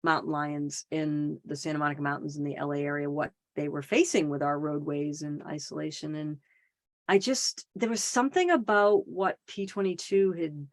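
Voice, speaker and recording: A middle-aged woman with a slightly low voice, a podcast guest telling a story. She talks in a loose, chatty way, full of fillers and restarts, with dry jokes at her own expense. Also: steady tone, normal speed. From Boston area, Massachusetts. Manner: chatty storyteller, self-deprecating humor, frequent fillers. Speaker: podcast guest, wildlife advocate. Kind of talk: casual conversational interview.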